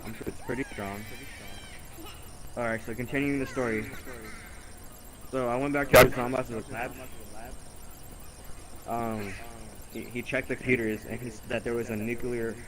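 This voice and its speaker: A man telling an improvised story casually during a game, rambling and halting as he corrects himself. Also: medium pitch, level tone, poor audio.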